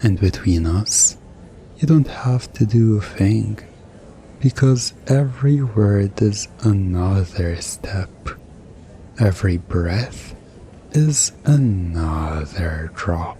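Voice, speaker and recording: A man performing a hypnosis ASMR roleplay as a hypnotist, speaking in a soothing, deliberate, hypnotic way with pauses. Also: fairly low pitch, expressive, mellow, a little hiss or hum.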